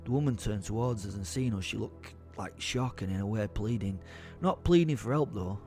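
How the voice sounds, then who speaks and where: A man's voice: on the low side, normal speed, mellow, soft background music, natural voice. An actor playing a rattled, plain-spoken young man on a night out, telling his story in an agitated, self-questioning way in an audio drama. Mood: rattled, bewildered. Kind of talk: dramatised first-person storytelling.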